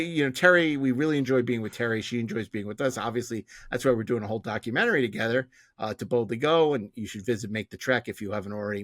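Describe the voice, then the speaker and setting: A man's voice: lowish voice, fairly bright tone, no room sound, clean studio sound. A man hosting a podcast, chatting casually and jokily in a rambling way as he promotes upcoming events. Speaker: podcast host.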